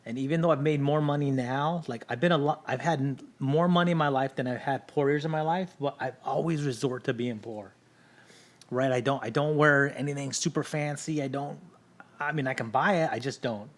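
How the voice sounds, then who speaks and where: A man's voice: mid-range voice, fairly quick. A middle-aged man whose first language is Spanish, a guest on a casual podcast, telling his story candidly and often checking in with "right?". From Southern California. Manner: candid storytelling, frequent "right?" tag questions.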